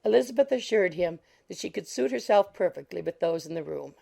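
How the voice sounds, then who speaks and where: A woman's voice: fairly low pitch, animated, brisk, vocal fry, mellow. A woman giving scripted literary narration for an audiobook.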